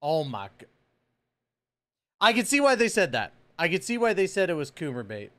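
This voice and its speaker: An excitable American man gives casual reaction commentary. His voice sits a little high for a man and swings widely in pitch. He talks in slangy exclamations, close to the microphone in a small room. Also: moderate pace. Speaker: reaction video host. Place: small indoor room full of collectibles. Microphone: close microphone on boom arm.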